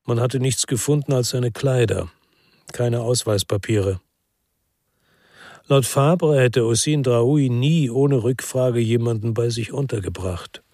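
A man's voice: mid-range voice, takes it slowly, darkish tone, no echo, studio quality. An actor playing a weary, brooding ex-policeman who narrates a radio crime drama in the first person. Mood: brooding, sober.

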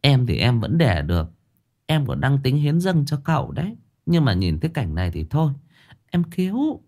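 A man's voice: mid-range voice, wildly swooping pitch, moderate pace, darkish tone, voice pushed up. A man voicing nosy village women who chatter and gossip.

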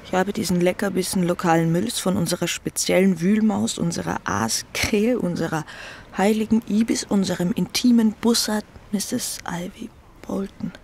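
A woman's voice: mid-range voice, fairly even intonation, normal speed, vocal fry, darkish tone, slight background noise, lightly acted. Actress as an agitated young upper-class lady. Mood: bitter, scornful. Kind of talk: German radio drama acting.

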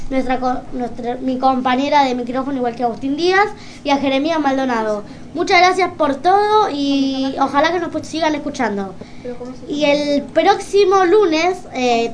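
A woman's voice: high voice, slight background noise. A female co-host with an Argentine accent, presenting an informal community radio show.

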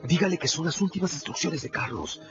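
Resolute lawyer, dramatically expressive. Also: male voice, fairly high pitch, brisk. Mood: urgent, commanding. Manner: imperative, intense, theatrical delivery. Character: resolute self-sacrificing male lawyer, commanding and urgent. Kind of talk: Spanish-language radio drama acting. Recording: dull radio recording with loud music.

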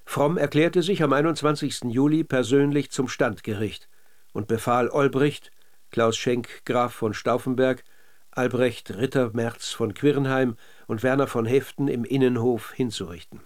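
Man, narrator, scripted documentary narration, factual, measured, mid-range voice. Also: medium pitch, fairly even intonation.

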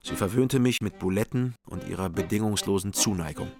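An actor narrating as a private detective in a calm, measured, wry first-person radio crime style. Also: male voice, deep voice, music in the background. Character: sober-minded male private detective narrator.

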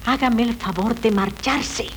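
An actress playing a haughty young society wife in a 1970s radio comedy, theatrical and imperious. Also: female voice, a little high, unhurried, lightly acted. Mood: indignant, haughty. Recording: noisy old 1970s radio recording.

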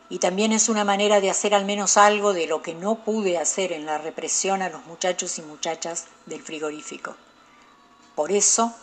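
A man's voice: high-pitched, close to an everyday voice. Actor playing a remorseful Argentine policeman confessing. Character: remorseful male federal police officer confessing about a past repression. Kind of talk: radio drama monologue.

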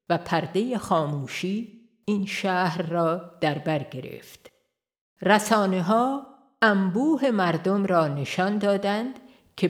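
A woman reading an audiobook aloud in a calm, measured way, pausing gently between phrases. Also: fairly low pitch. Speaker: audiobook narrator. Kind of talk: scripted audiobook narration. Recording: faint music behind the voice. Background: soft background music.

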